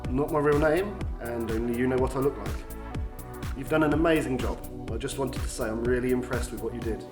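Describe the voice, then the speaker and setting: A man's voice: medium pitch, fairly quick, echoey room, over music, close to an everyday voice. A man playing a polite, guarded government agent with a cool approving manner in an audio drama.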